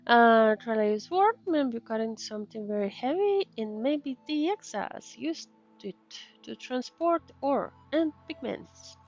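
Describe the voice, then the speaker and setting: A woman's voice: fairly high pitch, lively intonation, measured pace, a little mellow, highs cut off. A woman game streamer giving casual commentary in short murmured reactions and reading dialogue aloud. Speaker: streamer playing a game as a VTuber. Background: game music.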